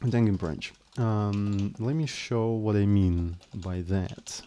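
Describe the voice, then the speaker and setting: A man's voice: on the low side, slow pace, mellow, clean tone, muffled recording. A man live-streaming his coding, speaking English as a second language in a hesitant, apologetic way with frequent restarts. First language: not English. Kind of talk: casual live stream chat, explaining code.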